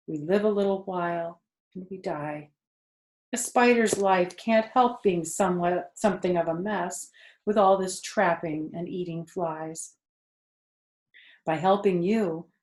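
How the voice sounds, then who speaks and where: Lady doing tired gentle mama spider from a kids book, calm n wise, real slow, roomy echo. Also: on the low side. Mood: reflective, tender. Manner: calm, gentle, measured, matter-of-fact. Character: tired, serene, wise motherly female spider. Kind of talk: children's storybook character reading.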